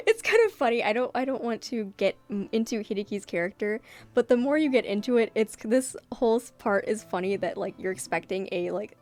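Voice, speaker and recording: A woman's voice: mid-range voice, animated, moderate pace, a little mellow, faint music behind. A woman casually reading her own comic aloud, with theatrical, self-deprecating asides and a giggly way of talking. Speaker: webcomic creator narrating own comic.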